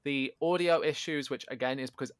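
A man co-hosting a podcast in casual chat, loud and animated, often poking fun at himself. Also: medium pitch, fairly quick, clear, resonant tone, no room sound. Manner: animated, self-deprecating, loud. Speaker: podcast co-host. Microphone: home podcast microphone.

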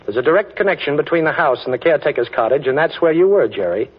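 An actor playing a quick-witted, confident amateur detective in a 1940s radio drama, breezy and wry, on a dull old recording. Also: male voice, on the high side, lively intonation, breathy edge. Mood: cool, wry.

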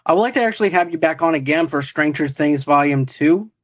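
A man's voice: a little high, brisk. A man hosting an online pop culture show in casual chat, talking in a rambling, repetitive way.

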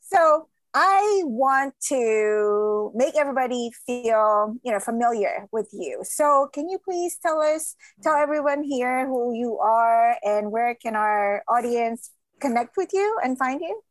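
A woman's voice: a little high, expressive, slow, no room sound. A woman hosting a live podcast interview, bubbly and emphatic with lots of fillers. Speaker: podcast host.

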